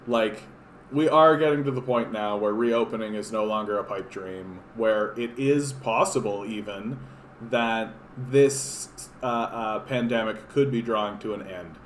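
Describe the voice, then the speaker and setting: A man's voice: on the low side, unhurried, slight background noise. Rambling sarcastic man in an echoey room. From Canada. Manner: rambling, frequent fillers and restarts, sarcastic. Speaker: political livestream host. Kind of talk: casual live-stream chat reading comments. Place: home room.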